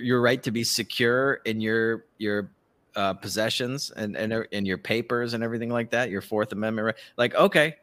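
A man's voice: on the low side, fairly bright tone. A man giving casual, profane political commentary as a podcast host, ranting and mockingly acting out what others say.

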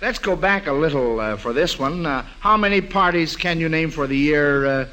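A man's voice: on the high side, animated, normal speed, pushed and bright. A man hosting a 1940s radio quiz show in a folksy, avuncular way, repeating answers back, on an old broadcast recording.

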